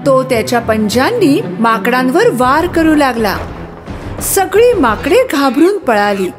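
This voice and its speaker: High, lively, unhurried woman storyteller. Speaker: storyteller, narrator. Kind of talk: expressive scripted children's story narration. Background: music.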